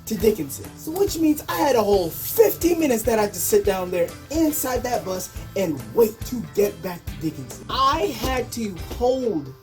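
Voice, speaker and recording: Animated young woman storyteller, mellow voice. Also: on the high side, normal speed, hardly any pauses, faint music behind. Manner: animated, exaggerated, acts out her own reactions. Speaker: storytelling video creator. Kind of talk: casual comedic personal storytelling.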